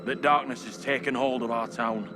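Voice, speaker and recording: A troubled, pious townsman in a witch-trial game, acted by a man. He speaks solemnly in formal, archaic words, in a medium-pitched male voice. A clear musical score sits behind him. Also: steady tone, moderate pace, over music, lightly acted. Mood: grave, hesitant. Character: earnest, troubled God-fearing colonial townsman at trial. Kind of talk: dramatic video game acting.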